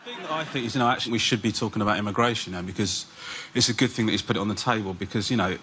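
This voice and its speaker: A man's voice: lowish voice, rapid-fire, pushed and bright, a little hiss or hum, low-quality recording. A male comedian on a panel show, making a mock-serious point full of hedges and fillers. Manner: hedging, many fillers like "sort of". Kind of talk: rambling comic argument.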